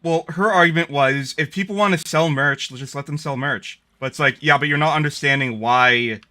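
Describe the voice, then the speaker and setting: A male streamer chatting casually while he plays, sardonic and quick to swear. His voice is bright and forceful, and he speaks close to a desk mic. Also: on the high side. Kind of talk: casual gaming stream chat.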